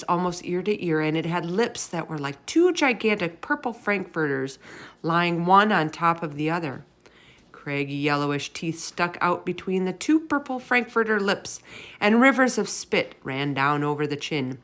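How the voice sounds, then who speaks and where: Lady reading a kids book out loud, lowish voice, expressive storytelling. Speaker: read-aloud storyteller. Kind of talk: expressive children's story narration.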